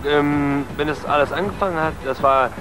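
A man in his twenties from the southern Netherlands with a Dutch accent, a DJ chatting in halting non-native German in an outdoor TV interview. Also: fairly high pitch, rough recording. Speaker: DJ and producer. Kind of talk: casual interview chat. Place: outdoors on a harbour waterfront. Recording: outdoor TV interview with loud music behind.

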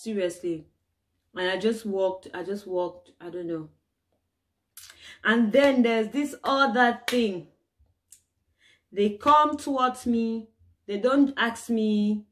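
Young woman from cameroon vlogging, lively and exclaiming a lot, mid-pitched voice. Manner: animated, exclaiming and acting out the people she met. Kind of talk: casual personal storytelling to camera.

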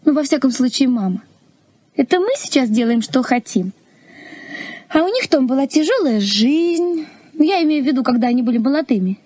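Radio drama lead: playful, impatient young sweetheart, high-pitched with a husky edge, light and expressive. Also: female voice, fairly quick, no room sound. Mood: teasing, reproachful. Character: playful, teasing young woman in love, spirited and impatient. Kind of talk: Soviet radio play acting.

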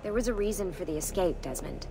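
An actress playing a guarded, earnest young woman assassin in a video game, speaking in a measured way. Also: on the low side, expressive, background noise. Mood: urgent, guarded. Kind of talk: video game cutscene acting. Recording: music behind the voice.

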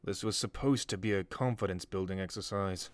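A man's voice: deep voice, a little fast, no room sound, lightly acted. A man playing an encouraging army drill instructor in a comic dub, authoritative yet friendly. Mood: chiding, curious. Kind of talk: dramatic comic dub acting.

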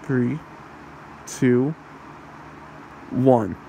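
A man voicing a possessive, taunting demon husband in an ASMR roleplay, with a mocking manner and a gravelly voice. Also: medium pitch, extremely slow, mellow, slight background noise, low-quality recording.

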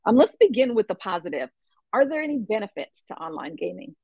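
A woman in her fifties hosting an online interview show, upbeat and expressive, with easy laughter. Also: mid-range voice, brisk, creaky, husky, no room sound, muffled recording. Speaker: talk show host. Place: home room.

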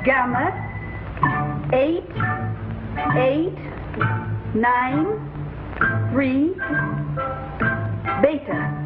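A commanding queen of villains from a 1950s television space serial. Her voice sits fairly high and she reads each word slowly and formally. The old soundtrack is very muffled, with music behind her. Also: animated, heavily acted. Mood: cold, intent. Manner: formal, deliberate, measured reading.

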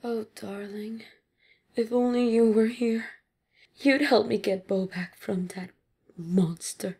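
A woman's voice: on the high side, slow, dark tone, breathy edge, exaggerated voice. A woman playing an anxious, devoted mother in an audio drama, speaking earnestly. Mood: wistful, grieving.

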